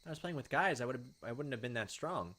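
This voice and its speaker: A man's voice: mid-range voice, expressive, fast, pushed and bright. Wry young man reading a game aloud. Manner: casual, wry. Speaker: let's player. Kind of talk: reading and commenting on a visual novel playthrough.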